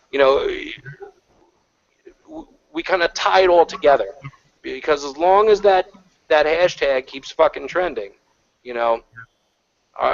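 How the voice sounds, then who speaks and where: Male panelist, mid-pitched, rambling with many fillers, over muffled call audio. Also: no room sound. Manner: rambling, heavy on fillers and restarts. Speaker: panel guest. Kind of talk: casual online panel chat. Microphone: video call.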